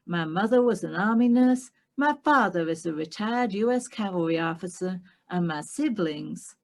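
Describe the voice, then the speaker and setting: A tall American cowboy, played by a woman reading aloud speaks in a deep, slow drawl. He stays calm and sounds mildly amused, with a soft, mellow tone. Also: creaky.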